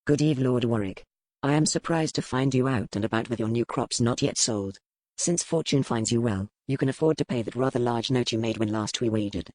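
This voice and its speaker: A man's voice: mid-range voice, fairly quick, a little mellow, no echo, lightly acted, poor audio. A man playing an arrogant aristocratic rake in a historical romance audiobook, with a pompous, formal, insinuating manner of mocking menace.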